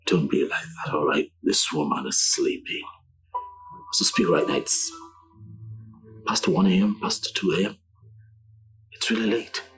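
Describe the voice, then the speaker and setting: A man's voice: deep voice, exaggerated voice, rough recording. An actor playing a devoted husband who soothes and pleads with his distraught wife. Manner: soothing, pleading, repeats himself gently. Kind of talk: film drama acting.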